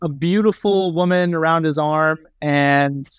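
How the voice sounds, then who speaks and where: A man's voice: on the high side, a little slow, clean tone, no room sound. A man from the Pacific Northwest, a podcast guest joking in casual chat. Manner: joking, laid-back banter.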